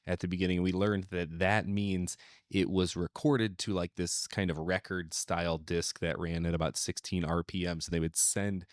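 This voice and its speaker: A man's voice: low-pitched, level tone, normal speed, no echo, studio quality. A man co-hosting a podcast, talking casually and without a script. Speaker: podcast co-host. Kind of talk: casual, unscripted podcast chat.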